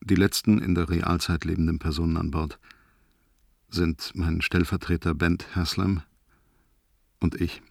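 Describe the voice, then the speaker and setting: An actor playing a grave, authoritative spaceship commander with a husky voice in a science-fiction radio drama. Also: male voice, deep voice, level tone, a little slow, pauses often, a little mellow, no echo, clean studio sound, exaggerated voice. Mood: measured, solemn. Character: grave spaceship commander reporting on a doomed crew.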